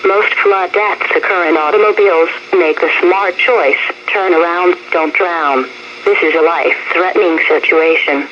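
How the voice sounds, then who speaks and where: A synthetic female voice with an American accent reads scripted emergency weather warnings in a formal, measured way as a radio announcer. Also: on the low side. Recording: dull, noisy weather radio broadcast. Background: radio noise.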